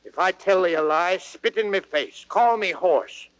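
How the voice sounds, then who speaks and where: An actor playing a blustering, boastful fat old rogue knight in old radio Shakespeare style, with declamatory, exaggerated delivery. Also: male voice, sits high, a little slow, fairly bright tone, low-quality recording.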